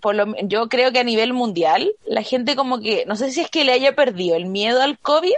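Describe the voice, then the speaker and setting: A woman's voice: a little high, animated, moderate pace, dry recording. A young Chilean woman co-hosting a podcast, chatting casually and ranting expressively in heavy slang with a Chilean accent. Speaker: podcast co-host. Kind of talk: casual chat.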